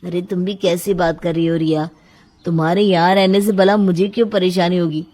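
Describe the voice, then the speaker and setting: A woman's voice: on the low side, steady tone, moderate pace, warm tone, lightly acted. A woman voicing a devoted, needy young man in a storybook tale, speaking in an earnest, plaintive way. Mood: pleased, surprised. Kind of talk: acted storybook dialogue.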